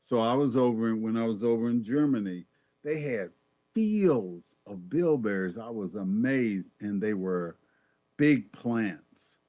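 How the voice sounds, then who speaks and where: A man's voice: lowish voice, expressive, a little slow, mellow, no room sound. A man giving an informal health talk as guest presenter, folksy and explanatory, often repeating words as he thinks.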